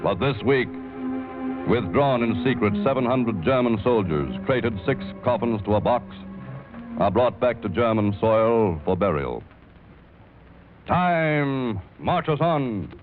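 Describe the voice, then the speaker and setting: Dramatic male newsreel announcer, emphatic and measured, tinny old radio sound with music underneath. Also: medium pitch, expressive.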